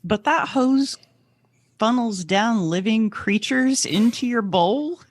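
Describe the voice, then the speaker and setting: A woman's voice: a little high, lively intonation, takes it slowly, no room sound. A woman chatting casually and wryly as a podcast co-host. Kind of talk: casual wry panel chat.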